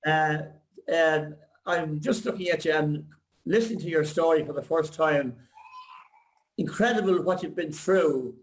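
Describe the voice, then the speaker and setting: An older man on a video call, rambling warmly before asking his question. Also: fairly high pitch, a little slow, poor audio. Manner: rambling, complimentary, reminiscing. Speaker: audience member asking a question.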